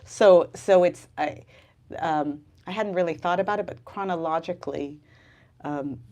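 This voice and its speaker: A woman's voice: fairly low pitch, a little slow, darkish tone. A woman from the United States, an author speaking expressively about her novel in a dramatic, emphatic manner. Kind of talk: expressive literary reading and book talk. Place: live bookstore event.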